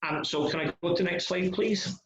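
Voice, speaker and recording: A man's voice: high-pitched, a little fast, noisy background. Middle-aged man, Scottish-Northern Irish accent, hesitant academic lecturer over a dull, echoey call line. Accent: Scottish and Northern Irish mix. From Scotland. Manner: self-deprecating, hesitant with repeated restarts. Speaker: guest lecturer. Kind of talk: academic presentation. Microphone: video call.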